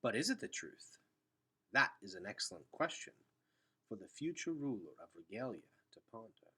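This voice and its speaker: A man voicing a wise, patient male elder with formal, deliberate speech in a children's storybook. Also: on the low side, big pitch swings, sharp tone, husky, full character voice. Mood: thoughtful. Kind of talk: children's novel read-aloud.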